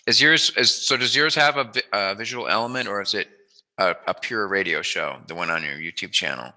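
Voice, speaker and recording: Casting: male interview host, lowish voice with a very sharp, forward edge, informal and rambling. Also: brisk. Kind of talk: casual chat interview.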